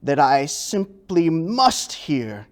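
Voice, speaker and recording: A man's voice: a little high, big pitch swings, very slow, exaggerated voice. A man voicing a sly, mean old creature in a children's storybook, with a smug, wheedling, theatrical delivery. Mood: smug, mocking. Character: sly, gleeful, mean old Grinch creature, fake Santa.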